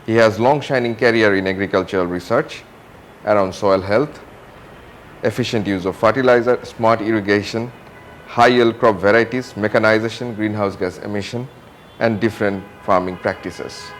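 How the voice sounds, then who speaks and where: A man's voice: lowish voice, normal speed, slight background noise. A man hosting an interview, introducing his guest in a formal, polished, prepared way. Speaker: interview host.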